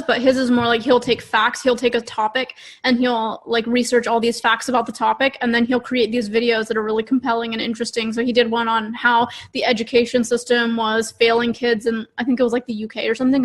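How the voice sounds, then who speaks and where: Woman, young adult, fairly high pitch, informal, casual podcast co-host. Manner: informal, frequent "like". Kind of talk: casual conversational podcast chat.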